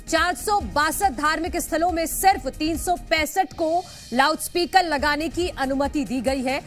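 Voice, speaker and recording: Female news anchor, distinctly high voice, faint music underneath. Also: a bit bright. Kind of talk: news presenting.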